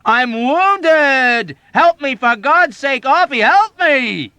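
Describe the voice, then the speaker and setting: A man voicing a wounded, panicking male airman who shouts and pleads, close to tears. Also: very high voice, lively intonation, slow, no room sound, voice pushed up, exaggerated voice. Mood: panicked.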